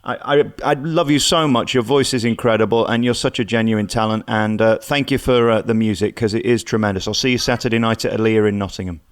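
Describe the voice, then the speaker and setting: A man with an English accent, hosting a casual celebrity interview in an effusive, flattering and cheeky way. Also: on the low side, a little fast, few pauses.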